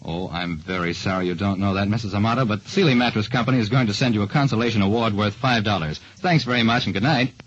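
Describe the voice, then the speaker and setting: A man's voice: lowish voice, quick pace, hardly any pauses, fairly bright tone, dull audio, slight background noise. A man narrating and hosting in 1940s radio style, on an old radio recording. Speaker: detective show star and quiz host.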